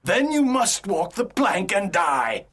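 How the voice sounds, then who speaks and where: Gloating pirate villain, theatrical and menacing, widely swinging pitch, for a children's storybook. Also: male voice, sing-song, slow pace, fairly bright tone, slightly rough, full character voice. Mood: commanding, threatening. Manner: theatrical, taunting, swooping delivery. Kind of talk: acted children's audio story.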